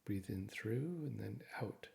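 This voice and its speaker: A man leads a guided meditation in a low voice with a lot of creak and gravel in it. He gives gentle instructions and speaks reassuringly, like a guide walking someone through calming exercises. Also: slow.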